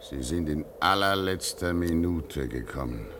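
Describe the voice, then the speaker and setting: An older man acting in a vintage German radio play as a pious, weary old father stranded at an Arctic station, speaking in a solemn, deliberate, prayerful way. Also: very deep voice, expressive, fairly bright tone, full character voice. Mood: concerned. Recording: noisy vintage radio drama recording.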